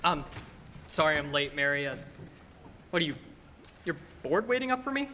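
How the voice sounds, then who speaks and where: Young actor as bright-voiced, high-pitched, earnest family man. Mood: downcast, self-doubting. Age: young adult. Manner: stammering, halting, excitable. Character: earnest, restless small-town family man, George. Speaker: high school stage actor. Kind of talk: 1940s-style radio play acting. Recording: hall reverb, noisy live stage recording.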